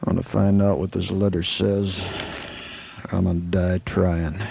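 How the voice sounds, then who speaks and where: An actor playing a calm, laconic Old West lawman with an American accent, understated and hesitant in a radio western. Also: sits low, unhurried, vocal fry, heavily acted. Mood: grim, resolute. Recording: faint background noise on old recording.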